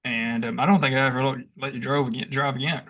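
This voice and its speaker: A young man co-hosting a podcast, telling stories in a casual, animated way and acting out what he shouted. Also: medium pitch, fast, crowd noise. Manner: animated, acts out past shouts; rambling with restarts and laughs. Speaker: podcast co-host. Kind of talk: casual storytelling chat.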